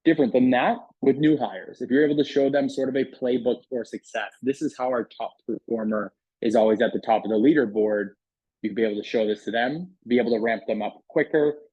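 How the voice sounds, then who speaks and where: A man's voice: medium pitch, brisk, warm tone, breathy edge, dry recording, muffled recording. A man giving a casual, conversational walkthrough as a product demonstrator, explaining features in an informal sales style.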